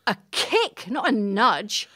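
Bright, bossy coach played by a woman. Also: a little high, sing-song, slow pace, breathy edge, full character voice. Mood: bossy, instructing. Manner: cajoling, instructing, emphatic. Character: bossy, coaxing streetwise critic of a night watchman. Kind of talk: comic audio play.

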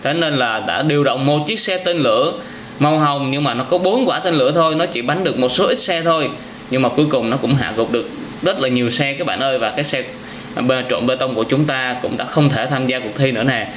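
A man's voice: mid-range voice, fairly quick, fairly bright tone. Young southern vietnamese guy doing casual game reaction commentary for kids, lots of exclaiming, noisy vehicle sounds behind him. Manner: exclamatory storytelling with frequent wows and addresses to viewers. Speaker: gaming reaction video host.

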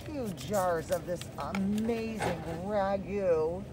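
A woman's voice: mid-range voice, slow. A middle-aged American woman, a teacher talking animatedly and casually to her students in a video lesson. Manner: animated, addressing children directly. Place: inside a car, then a grocery store. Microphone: phone at arm's length. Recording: noisy car and store ambience.

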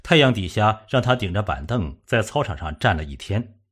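A man narrating a suspense novel as an audiobook, in a measured, dramatic storytelling manner. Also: fairly low pitch, sing-song, a bit bright, breathy edge.

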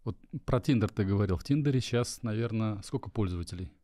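A man hosting an interview, asking quick questions and cutting in with jokes. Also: on the low side, dark tone, no room sound, studio quality. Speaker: interview host. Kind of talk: conversational interviewing.

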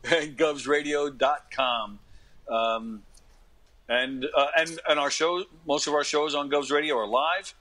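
A man's voice: fairly high pitch, fairly even intonation, a little slow, fairly bright tone. A middle-aged man talking casually as an interview guest, earnest and emphatic, often repeating his phrases. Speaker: podcast guest, actor and comedy radio host.

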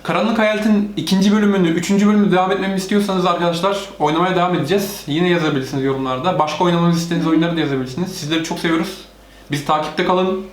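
A man's voice: high voice, fairly even intonation, very fast. A man hosting a casual let's-play, narrating each move for viewers as a parent playing along with a child. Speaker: gaming channel host, parent playing with child. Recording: echoey room, faint noise.

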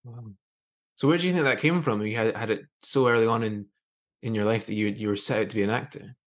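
A man's voice: fairly low pitch, fast. A man in his thirties hosting a podcast in a casual, conversational interviewing style. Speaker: podcast host. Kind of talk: friendly interview, casual chat. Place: indoor room on a video call. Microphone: video call.

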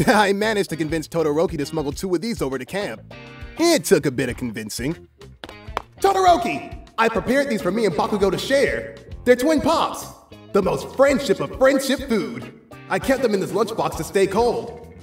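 Teenage hero-in-training, played by a man, big-hearted and exuberant, high voice that swings wildly. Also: very animated, normal speed, gravelly, pauses often, soft background music, full character voice. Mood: excited, cheerful. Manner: exuberant, earnest, emotional. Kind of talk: amateur comic dub acting.

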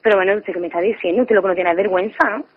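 A woman's voice: medium pitch, racing, husky. A young adult woman from Córdoba, Spain, in an unscripted, indignant phone conversation. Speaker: prank call target at work in a shop. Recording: dull, band-limited phone call.

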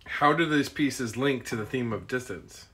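A man's voice: mid-range voice, moderate pace, fairly bright tone. A man off camera asking short, plain interview questions. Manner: brief and plain.

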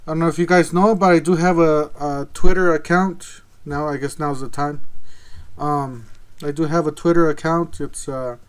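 A man's voice: fairly high pitch, fairly even intonation, moderate pace, smooth. Rambling man reviewing tools at his desk. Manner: rambling, lots of ums and restarts. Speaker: tool reviewer. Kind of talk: casual product review vlog. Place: office desk indoors. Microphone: clip-on camera mic.

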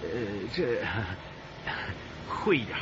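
An actor playing a deferential, educated worker in a radio drama, hesitant and humble, given to chuckling and eager to please. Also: male voice, slow pace, close to an everyday voice. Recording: dull, noisy radio drama soundtrack.

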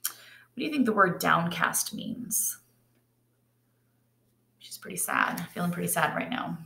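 Expressive woman storyteller in a roomy space. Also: fairly low pitch, unhurried, creaky. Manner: expressive, teacherly. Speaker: read-aloud storyteller. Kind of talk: picture book read-aloud with questions to children. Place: kitchen or dining area at home, indoors. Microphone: laptop or webcam at arm's length. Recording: roomy home webcam sound.

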